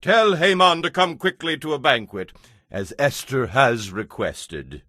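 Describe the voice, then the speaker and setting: A man's voice: mid-range voice, animated, unhurried, pushed and bright, no echo, studio quality. An actor playing a commanding, indulgent ancient king in a dramatized audio Bible, with a regal manner.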